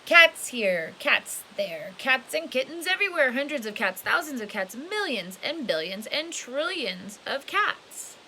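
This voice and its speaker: A woman's voice: high voice, very animated, measured pace, hardly any pauses, pushed and bright, rough recording. A woman reading a children's picture book aloud expressively, stretching key words and adding casual asides. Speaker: storybook reader. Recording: hissy home recording with background noise.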